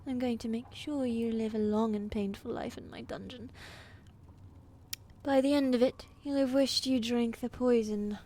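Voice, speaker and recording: A woman playing a fierce, commanding queen in a medieval fantasy audio roleplay, imperious and threatening, with a softer side for her husband. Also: fairly high pitch, steady tone, normal speed. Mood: imperious anger.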